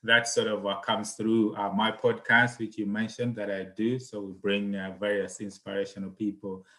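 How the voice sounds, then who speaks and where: Bright-voiced man from Malawi, hesitant. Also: on the low side, little pitch movement, normal speed. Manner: hesitant, many fillers and restarts. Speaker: guest, accountant and property investor. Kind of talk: conversational interview.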